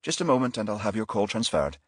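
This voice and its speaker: Man acting male banker, deferential, obliging, formal, animated intonation, audiobook character. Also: on the low side, fast, husky, no room sound, clean studio sound, voice pushed up. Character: polite, deferential male bank accounts chief.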